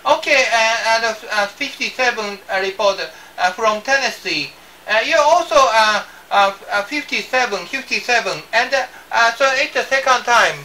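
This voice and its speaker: An older man with a Japanese accent operates an amateur radio in halting English, often repeating himself. His voice is bright and forceful, picked up at some distance with faint noise around it. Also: high voice. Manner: halting, repeats words, spells in phonetic alphabet. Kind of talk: ham radio contact. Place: small indoor radio desk.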